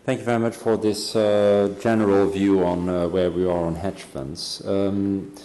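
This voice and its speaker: A man moderating a panel discussion, halting and pausing with fillers. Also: low voice, little pitch movement, mellow.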